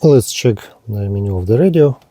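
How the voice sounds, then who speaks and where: Dark-voiced man presenting in halting phrases. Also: on the low side, animated, dry recording. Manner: halting, short item-by-item phrases. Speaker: product reviewer, presenter. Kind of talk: hands-on gadget demonstration.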